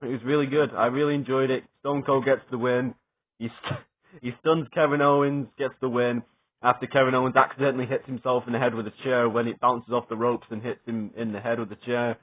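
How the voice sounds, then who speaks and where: A young adult man giving a rambling, excited spoken review, swearing often and stumbling over fillers and restarts. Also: medium pitch, brisk. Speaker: wrestling reviewer. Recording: tinny phone-like sound.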